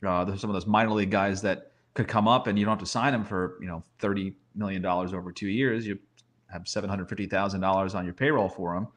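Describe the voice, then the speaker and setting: A man's voice: sits low, steady tone, fast. A man giving conversational sports commentary as a guest analyst, using rhetorical questions and emphatic repetition.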